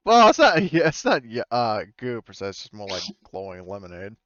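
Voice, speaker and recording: A man's voice: medium pitch, huge pitch swings, fairly bright tone, no echo, muffled recording. A man running a tabletop game over a voice call, improvising his descriptions casually and breaking off often to rephrase. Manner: halting, frequent self-interruption. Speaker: game master. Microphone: online voice call.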